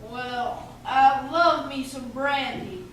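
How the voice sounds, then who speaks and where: A woman's voice: high voice, steady tone, slow. A woman playing a belligerent drunken man in an amateur radio play. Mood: slurring, demanding. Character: drunk, belligerent hothead man, whining and loud. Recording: very echoey room, distant mic, faint hiss.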